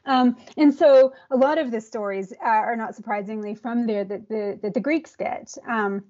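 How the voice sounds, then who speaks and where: A woman in her thirties with a Southern American accent speaks over a video call, her voice sitting fairly high. She is friendly and laughs easily, with frequent ums. The audio is dull and lo-fi through an earbud mic. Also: normal speed, darkish tone. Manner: friendly, laughs often, searches for words with many ums. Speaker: guest translator. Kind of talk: casual video call conversation. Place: indoor office. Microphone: earbud headset on video call.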